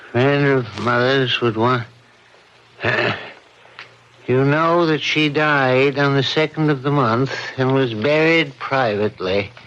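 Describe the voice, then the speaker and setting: An actor playing a skeptical middle-aged husband in an old radio drama, measured, firm and patronizing. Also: male voice, medium pitch, fairly bright tone, muffled recording. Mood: puzzled. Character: housewife's skeptical, dismissive husband, soothing but firm.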